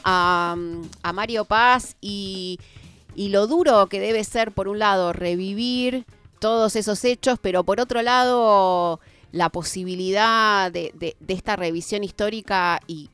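Smooth-voiced woman radio host. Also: medium pitch, a bit bright, no room sound. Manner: reflective, repeating words. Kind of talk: radio interview.

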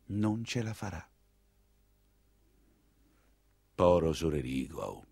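A man's voice: deep voice, big pitch swings, moderate pace, gravelly, mellow, husky, dry recording, heavily acted. An actor playing an earthy, talkative 19th-century coachman with a Roman accent, telling his tale in a colloquial theatrical dialect monologue, exclaiming and confiding. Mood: wistful. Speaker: stage and radio actor.

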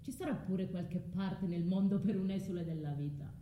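An actress with a southern Italian accent playing a proud, vengeful, scorned foreign wife in a declamatory radio drama monologue. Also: female voice, on the low side, normal speed, dark tone, reverberant, a little hiss or hum, natural voice. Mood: resentful.

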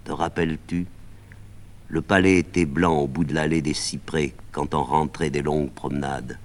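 Old nurse woman, a man reading her, soft and wistful, very low voice. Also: fairly even intonation, moderate pace.

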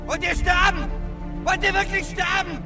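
An aggressive armed robber in a radio crime thriller. He screams coarse threats at a very high, strained pitch, with loud music under him. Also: level tone, normal speed. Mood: furious, threatening. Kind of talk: German radio crime drama acting.

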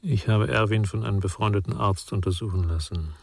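Low, husky older man, reflective. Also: a little fast, darkish tone, dry recording. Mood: grave. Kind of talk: German radio crime drama acting.

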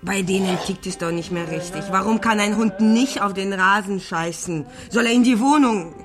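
An actress playing an irritable, suspicious wife in a radio play, clipped and sarcastic. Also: female voice, mid-range voice, normal speed, soft background music, close to an everyday voice. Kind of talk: radio drama acting.

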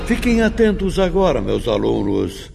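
A man's voice: fairly high pitch, very animated, normal speed, vocal fry, a little mellow, heavily acted. An actor playing a grave spiritual master who teaches his pupils with solemn, didactic delivery in a radio drama. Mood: solemn, admonishing. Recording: dramatic music underneath.

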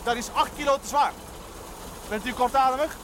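Blunt, brisk car tinkerer, 1970s TV drama, very high voice over engine noise. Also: male voice. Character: blunt, brisk man who tinkers with cars. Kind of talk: naturalistic 1970s television drama acting. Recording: noisy soundtrack with car engine.

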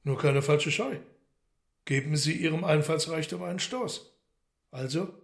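An actor playing a commanding, coolly mocking devil in a German radio play, with measured, formal, ironic speech. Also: male voice, fairly high pitch, gravelly. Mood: imperious, probing.

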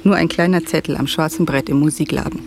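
A man playing a wry band storyteller in a radio drama, narrating with dry, knowing asides and a creaky voice. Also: fairly high pitch, steady tone, fast, noisy background. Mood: dryly amused. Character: wry male band member narrating rehearsal stories. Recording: loud music under the voice.

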